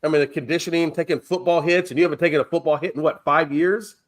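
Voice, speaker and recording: A man's voice: a little high, steady tone, fast talker. A man on a sports talk panel chatting over a video call, rambling and full of "I mean" and "you know". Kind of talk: casual panel chat.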